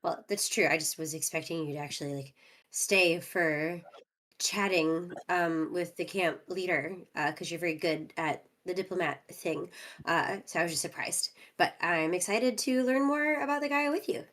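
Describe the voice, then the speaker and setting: A woman's voice: lowish voice, moderate pace, a bit bright. A woman playing a tabletop roleplaying game, improvising dialogue in an informal, conversational way. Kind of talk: casual in-character improvised chat.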